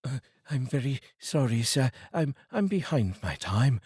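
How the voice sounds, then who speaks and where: A man's voice: medium pitch, very animated, a little slow, darkish tone, breathy edge, no echo, clean studio sound, pitched above the performer's own voice, heavily acted. A man voicing a timid male office clerk who stammers out nervous apologies to his employer. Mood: pleading.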